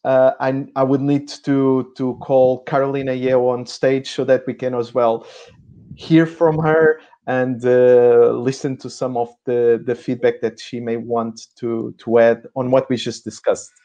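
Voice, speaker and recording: Male panel moderator, hesitant, mellow tone, little melody. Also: medium pitch, flat delivery, measured pace, muffled recording. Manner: hesitant, restarts often.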